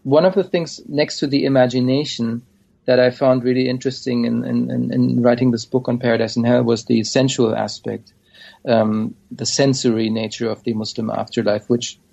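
A man, a scholar being interviewed, answering in a hesitant, thoughtful way with frequent pauses. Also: mid-range voice, level tone, vocal fry, dark tone, slightly rough. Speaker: scholar of Islamic studies, guest. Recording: dull lo-fi audio.

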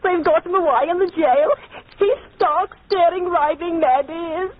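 A frantic, agitated gossip in a vintage radio drama, her voice pitched high. The old recording is tinny, with faint noise. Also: moderate pace. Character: frantic woman breathlessly reporting a scandal.

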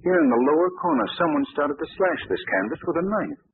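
An actor playing a calm, shrewd gentleman detective in an old radio drama, asking measured, probing questions. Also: a little high, narrow, tinny audio, slight background noise, close to an everyday voice. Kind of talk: 1940s radio acting.

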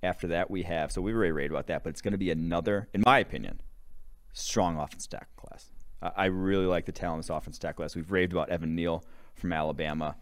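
A young man with a very low voice, co-hosting a casual football podcast. He rattles off long lists of prospects and rankings. He is from Wisconsin. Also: quick pace. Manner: animated, rattles off player lists. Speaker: podcast co-host, draft analyst. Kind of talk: casual sports analysis chat.

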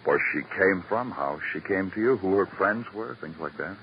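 Deep-voiced, world-weary radio detective. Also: male voice, animated, moderate pace, slight background noise. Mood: terse, businesslike. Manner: clipped questions, brooding poetic narration. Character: terse, world-weary male police detective who narrates. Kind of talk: 1950s radio crime drama acting. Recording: muffled old radio recording, music behind.

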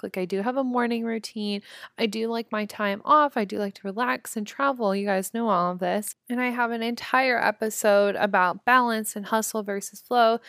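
A young woman hosting a motivational podcast in a chatty, upbeat, slangy way, her voice raspy and hoarse from screaming. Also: mid-range voice, a bit bright, no room sound, studio quality. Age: young adult. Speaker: podcast host. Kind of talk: casual motivational podcast monologue.

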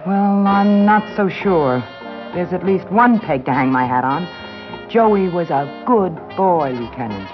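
Cynical female reporter, pointed and persistent, animated pitch, classic radio acting, dull vintage sound. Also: unhurried, over music. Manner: pointed, wry, pressing.

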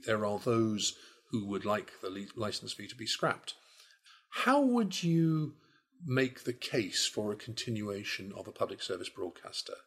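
A man hosting a podcast who asks his guest measured, thoughtful questions with some hesitations. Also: on the low side, creaky, a bit bright, husky. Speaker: podcast host and interviewer. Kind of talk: interview questions.

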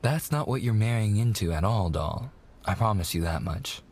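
Man acting a low-voiced young mobster fiancé, sweet and coaxing. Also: animated, moderate pace, darkish tone. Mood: eager, coaxing. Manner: coaxing, hesitant, slangy gangster talk. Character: young mafia boss's son, eager, smooth-talking, sweet fiancé. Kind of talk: boyfriend ASMR roleplay, intimate monologue.

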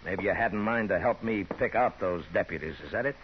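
Frontier marshal male actor, American, low voice, wry and blunt, 1950s radio western on a muffled, slightly noisy recording. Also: brisk, close to an everyday voice. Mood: firm, blunt. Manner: firm, dry, blunt. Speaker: lead actor in radio drama.

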